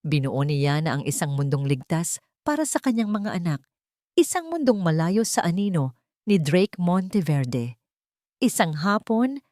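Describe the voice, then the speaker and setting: A woman reads scripted romantic drama as a storyteller. Her voice sits a little low but moves expressively up and down. The recording is dry, with no room sound. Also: a little mellow, no room sound.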